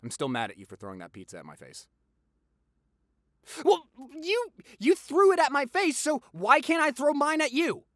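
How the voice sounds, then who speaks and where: A man acts out a playful, affectionate young boyfriend spilling gossip in an audio roleplay. His delivery is theatrical and teasing, swinging widely in pitch, and the sound is dry with no room around it. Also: wildly swooping pitch, moderate pace, a bit bright, dry recording, heavily acted. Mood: mock offended. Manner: theatrical, exaggerated, teasing, chatty.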